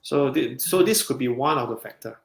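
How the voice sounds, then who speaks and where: A man, a counselling psychologist, explaining in a measured way in a podcast interview, with frequent restarts. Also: mid-range voice, lively intonation, creaky. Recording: roomy echo.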